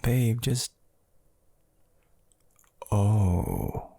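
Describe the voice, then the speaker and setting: A man playing an affectionate, teasing young boyfriend in an intimate ASMR roleplay, murmuring softly and hesitantly with a breathy, gravelly voice. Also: fairly low pitch, wildly swooping pitch, very slow, dry recording, heavily acted. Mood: flustered, playful. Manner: soft, hesitant, drawling, teasing.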